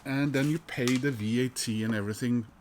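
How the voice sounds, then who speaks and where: Norwegian guy rambling about records at home, lots of uhs and ums, middle pitch, faint hiss behind. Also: fairly even intonation, brisk, a little mellow. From Norway. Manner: loose and chatty, heavy with "uh" and "um" fillers. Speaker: vinyl collector vlogger. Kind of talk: casual rambling chat to camera.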